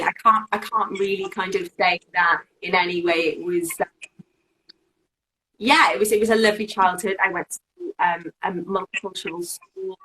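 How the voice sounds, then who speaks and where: A woman's voice: mid-range voice, pushed and bright, slight background noise, poor audio. A woman from Wales, a guest on a live video-call interview, talking casually with many fillers and restarts. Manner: chatty, lots of fillers and restarts.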